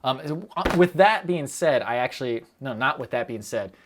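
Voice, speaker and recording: A young man chatting casually on a live stream, rambling quickly and breaking off his own sentences as he answers viewers. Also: mid-range voice, lively intonation, noisy background. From United States. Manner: rambling, quick, self-interrupting with fillers and laughs.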